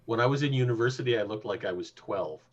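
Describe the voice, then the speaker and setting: Husky man in his fifties, dry quips. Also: on the low side, fast, highs cut off. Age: 50s. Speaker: player. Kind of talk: casual chat.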